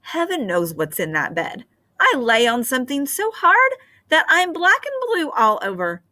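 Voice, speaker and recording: A woman's voice: high-pitched, wildly swooping pitch, normal speed, sharp tone, voice pushed up, exaggerated voice. A woman voicing a delicate young princess who complains dramatically. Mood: complaining.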